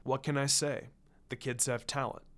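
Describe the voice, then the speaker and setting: A man voicing a laid-back, quietly amused male ninja teacher in a story reading, low-key and understated. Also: level tone, normal speed, natural voice. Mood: cool, serious. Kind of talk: fan fiction story reading.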